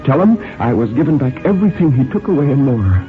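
Man acting proud wounded old woman, emotional, insistent, lifted pitch, storybook, music behind. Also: very animated, fast, lightly acted. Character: proud, wounded dying old woman remembering her life. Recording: thin phone-like band, music behind.

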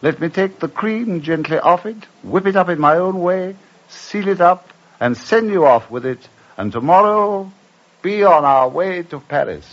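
An actor playing a witty, carefree English gentleman traveller in a 1950s radio drama, lively and mocking. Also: high voice, measured pace. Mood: wry. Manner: playful, mocking, lively delivery. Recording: dull lo-fi old radio broadcast.

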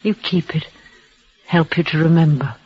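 An actress playing a frail, dying young woman, tender and devoted, speaking in a breathless, halting way with soft repeats. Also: sits low, fairly even intonation, husky. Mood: resigned, tender. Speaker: lead actress. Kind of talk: 1930s radio drama acting. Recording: muffled old radio broadcast.

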